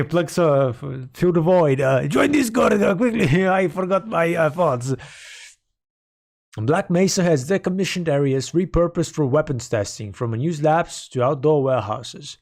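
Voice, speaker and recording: A man with a sore, hoarse throat rambles playfully and mock-dramatically to his stream chat. His voice is somewhat high and full of gravel. He talks slowly but swings his pitch widely. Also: darkish tone. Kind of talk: casual livestream chat with viewers.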